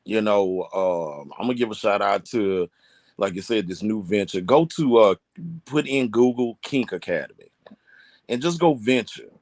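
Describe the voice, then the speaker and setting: A man's voice: lowish voice, moderate pace, a little mellow, no echo. A man co-hosting a casual podcast, giving blunt, slangy advice and cursing freely. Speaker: podcast co-host.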